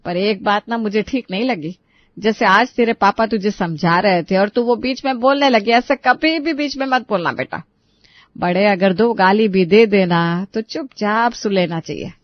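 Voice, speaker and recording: A woman's voice: mid-range voice, moderate pace, no room sound, dull audio, close to an everyday voice. A woman voicing a middle-aged mother, soothing yet admonishing, in an audio story. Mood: cautioning. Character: middle-aged submissive, cautioning mother advising her daughter.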